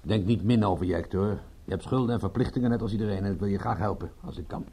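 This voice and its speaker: An actor playing a wry, worried private detective in a radio drama, speaking tersely with dry irony and some hesitation. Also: male voice, lowish voice, fast, creaky, mellow, slightly rough. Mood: brusque. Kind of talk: radio drama acting in Dutch. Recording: mildly noisy radio play recording.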